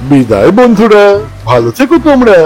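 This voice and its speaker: A woman's voice: mid-range voice, sing-song, fairly quick, darkish tone, a little hiss or hum, pitched below the performer's own voice, natural voice. A woman voicing a small, timid but clever octopus king in a children's cartoon, flustered and protesting, with music underneath. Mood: friendly. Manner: flustered, protesting, comically anxious.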